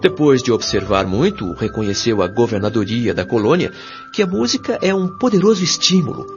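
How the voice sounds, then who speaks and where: A Brazilian man playing a kindly, patient spirit guide in an audio drama, explaining things in a measured, didactic, carefully enunciated way. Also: mid-range voice, big pitch swings. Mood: friendly, patient. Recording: dull lo-fi audio, music behind.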